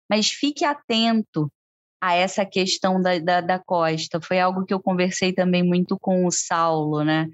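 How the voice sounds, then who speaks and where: A woman's voice: lowish voice, no room sound. A woman with a Brazilian Portuguese accent co-hosting a podcast, explaining points at length and often turning questions to her co-host. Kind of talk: conversational podcast talk.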